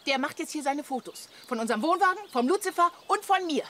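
An actress playing an exasperated, bossy wife in a radio play, scolding sharply. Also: female voice, high voice, lively intonation, quick pace, sharp tone, slight background noise. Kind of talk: German radio play acting.

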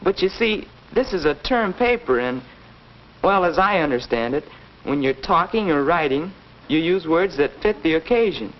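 Guy playing a polite brainy american teen boy, high voice, earnest, dull 40s film audio. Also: lively intonation, normal speed, natural voice. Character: earnest, articulate student son explaining patiently. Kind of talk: 1940s educational film acting. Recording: muffled 1940s film soundtrack.

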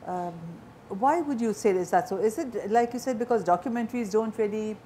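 A woman's voice: mid-range voice, a little fast, warm tone, a little hiss or hum. A middle-aged woman with an Indian accent, interviewing a guest in a conversational style. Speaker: interviewer, host. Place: indoor lounge set.

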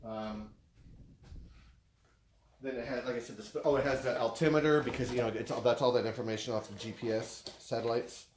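A man with an American accent chatting casually and haltingly, thinking aloud as he shows off purchases in a living room. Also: mid-range voice, steady tone, a little hiss or hum. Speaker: vlogger showing purchases. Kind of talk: casual unboxing chat.

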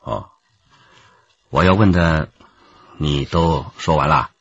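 Shrewd, husky police inspector. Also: male voice, a bass voice, takes it slowly, a bit bright, no room sound, muffled recording, heavily acted. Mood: probing. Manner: probing, insistent, wry. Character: shrewd, authoritative male police detective inspector. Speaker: actor in a police radio drama. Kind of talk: Chinese radio drama acting.

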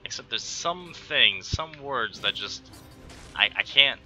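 A man with a light Texan accent talks his way through a video game into a headset, chatty and quick to exclaim. His voice is sharp and bright, middling in pitch, with faint game music underneath. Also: expressive. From Texas. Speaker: gaming channel host. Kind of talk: casual gameplay commentary.

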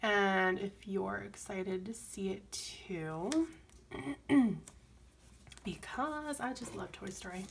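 A woman chats casually at home while planning. Her voice sounds strained and crackly, at times sinking lower than her usual range. She rambles along at a mid pitch with soft asides, and a faint hiss sits under the recording. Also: slow pace, gravelly. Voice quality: strained, crackly voice that drops deep. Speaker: planner vlogger. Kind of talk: casual plan-with-me chatter. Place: home desk, camera overhead on planner.

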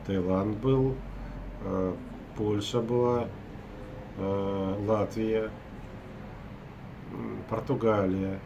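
A man's voice: fairly low pitch, background noise. A man in his forties from Russia, a property broker recapping his day to camera from a hotel room at night, talking hesitantly with many filler sounds and restarts.

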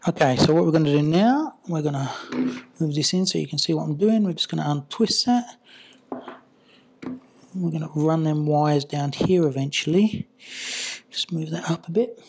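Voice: medium pitch, normal speed, long pauses, a little mellow, a little hiss or hum. Casual hands-on tutorial voice, muttering while working. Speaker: product installer and reviewer.

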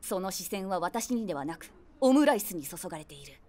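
A woman's voice: medium pitch, sing-song, brisk, warm tone, lightly acted. An actress playing a wry woman of forty-six, a self-deprecating eavesdropper narrating an audio drama conversationally in the first person. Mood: amused commentary. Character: wry 46-year-old woman, eavesdropping daydreamer.

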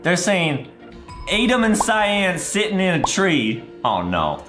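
Animated young man, roomy sound. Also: sits high, takes it slowly. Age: 20s. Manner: exaggerated, theatrical reactions. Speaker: gaming video host. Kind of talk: playful video game commentary for children. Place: small indoor room. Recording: roomy sound with background music. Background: music.

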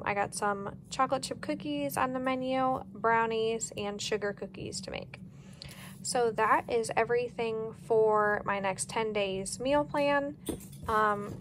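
An American woman with a fairly high voice chats casually through a household vlog. She sounds easygoing and plainspoken. The recording is homemade, in a kitchen, with clear background noise. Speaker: home vlogger. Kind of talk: casual grocery haul and meal plan narration. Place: home kitchen, indoors.

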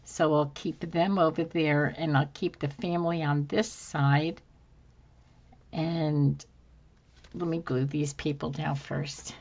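A woman hosting a casual crafting video at her craft table, a bit hoarse, thinking aloud as she works with many ums. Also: sits low, steady tone, slow pace, darkish tone, highs cut off. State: slightly hoarse from dry throat. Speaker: craft channel host. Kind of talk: casual crafting tutorial chat. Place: home craft table, indoors.

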